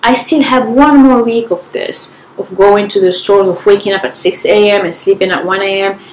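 A woman's voice: mid-range voice, steady tone, darkish tone. A young woman vlogger giving frank advice to the camera from her living room, sounding tired and a bit nasal from a cold. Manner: frank, unhurried, with small restarts. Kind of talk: casual advice talk to camera. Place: living room with sofa, indoors. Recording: echoey room.

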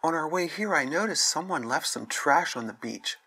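A man's voice: a little high, lively intonation, moderate pace, sharp tone, breathy edge, heavily acted. A man voicing a friendly fifth-grade schoolboy in a scripted children's puppet show. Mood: earnest.

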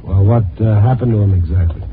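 An actor playing a wry, world-weary night-beat newspaper reporter in a 1950s radio drama, with a terse, hard-boiled delivery. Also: male voice, lowish voice, brisk, a little hiss or hum, lightly acted. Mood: concerned. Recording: tinny 1950s radio recording.

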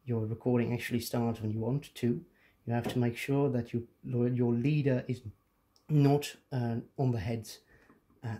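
A man's voice: mid-range voice, mellow. A man presenting a casual hands-on tutorial, explaining things step by step.

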